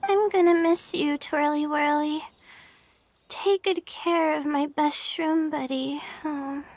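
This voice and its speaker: A woman voicing a shy, gentle, sweet young student girl in a video game, timid and polite. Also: high-pitched, steady tone, slow pace, a little hiss or hum. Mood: tender, sad.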